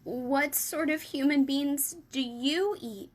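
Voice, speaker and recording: A woman acts out a plucky little girl from a storybook. The voice sits high and moves at an unhurried pace. She sounds polite and full of questions. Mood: nervous, questioning. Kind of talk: children's storybook reading.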